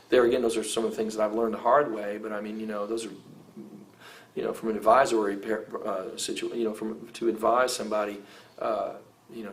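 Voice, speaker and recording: A man's voice: medium pitch, rough recording. A middle-aged man who sings rock, talking in a casual interview, rambling with many fillers and restarts. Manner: rambling, heavy use of "you know" and "I mean", frequent restarts. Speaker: rock vocalist giving advice.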